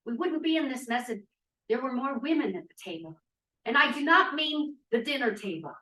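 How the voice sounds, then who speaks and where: A woman's voice: sits high, expressive, moderate pace, pushed and bright. Outspoken 1940s young feminist woman actor, echoey room. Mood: indignant. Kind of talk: script read-through acting. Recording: distant, echoey room microphone.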